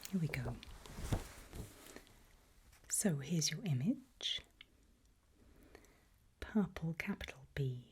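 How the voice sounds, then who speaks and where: A woman playing a calm, reassuring doctor in an ASMR roleplay, speaking in a gentle breathy whisper. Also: sits low, takes it slowly, pauses often, full character voice. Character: calm reassuring female doctor running a creepy memory test.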